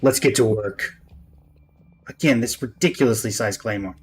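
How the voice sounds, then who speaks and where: A man's voice: lowish voice, normal speed. Male game streamer over music. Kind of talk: reading game text aloud, casual commentary.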